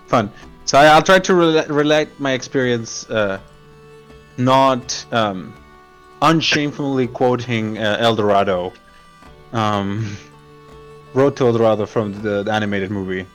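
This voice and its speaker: A man playing a tabletop game with friends, talking freely and laughing often in a mid-pitched voice. Light music sits under the conversation. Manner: chatty, quick to laugh. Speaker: player. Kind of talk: casual tabletop game chat.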